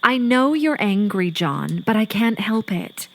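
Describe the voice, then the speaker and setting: A woman playing a gentle, discontented young wife in a period audio drama, speaking softly and earnestly, as if confessing. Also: mid-range voice, animated, unhurried. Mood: defensive, upset. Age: young adult.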